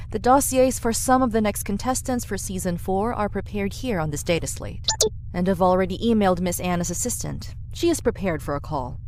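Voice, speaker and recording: A woman's voice: fairly low pitch, a little mellow, slight background noise, natural voice. A woman playing a poised, devoted assistant in an audio drama, speaking formally and precisely with dry deference. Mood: composed, deferential. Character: poised, devoted female assistant, formerly a pirate.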